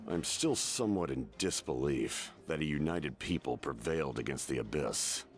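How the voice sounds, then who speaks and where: A man's voice: extremely low pitch, animated, moderate pace, music in the background. A man voicing a grave, dignified military leader in a video game, speaking formally and with solemn authority. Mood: reflective, admiring. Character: grave, dignified, commanding male war leader, measured and formal.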